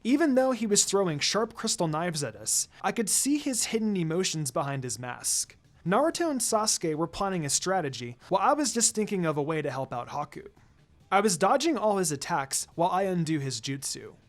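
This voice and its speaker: A man's voice: sits high, sing-song, a little fast. A man reading fan fiction aloud as dramatic first-person story narration. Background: background music.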